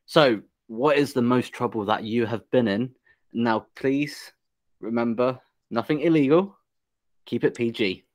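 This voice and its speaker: A man's voice: medium pitch, a little slow, darkish tone. A man with an English accent hosting a podcast, chatting casually, joking and laughing often as he asks teasing questions. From England. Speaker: podcast host. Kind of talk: casual interview chat.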